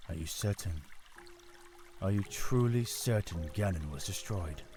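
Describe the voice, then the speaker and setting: A man's voice: low-pitched, animated, measured pace, mellow. A man voicing a young, earnest fish-folk prince in an audio drama, speaking formally. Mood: uneasy. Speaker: voice actor. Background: music.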